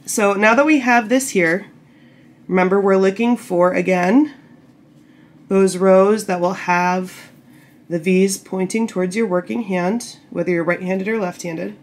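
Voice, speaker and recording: A woman getting over a cold, a bit croaky, patiently explaining knitting steps while working with her hands. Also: mid-range voice, steady tone, a little slow. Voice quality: slightly gravelly. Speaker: knitting tutorial host. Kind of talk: casual step-by-step instruction. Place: indoor table, camera over hands.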